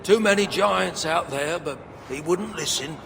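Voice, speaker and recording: An actor playing an excitable, grumbling dwarf craftsman in a video game, with a blustering, colloquial manner. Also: a little high, unhurried, creaky. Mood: rambling, concerned. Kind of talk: video game cutscene acting. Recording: reverberant hall, faint background noise.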